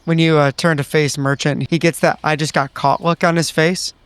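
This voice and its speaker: A man with a somewhat high voice plays a tabletop game. He chats casually about the scene and his character, recorded close and dry. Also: flat delivery, normal speed, smooth, no room sound.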